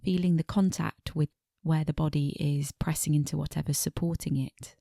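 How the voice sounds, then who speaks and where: A woman meditation teacher guiding a body scan in a gentle, unhurried manner, pausing between short phrases, with soft phrase endings that fade toward breathiness. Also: low-pitched, fairly even intonation, creaky, no echo, studio quality.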